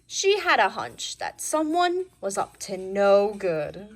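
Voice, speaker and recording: A woman reading a children's storybook aloud in an expressive storytelling style, as narrator. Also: mid-range voice, slow. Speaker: storybook reader.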